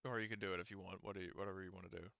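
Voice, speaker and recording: Male tabletop game master, lowish bright voice, casual and sweary delivery. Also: little pitch movement, rapid-fire, vocal fry, no echo, highs cut off. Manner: informal, profane.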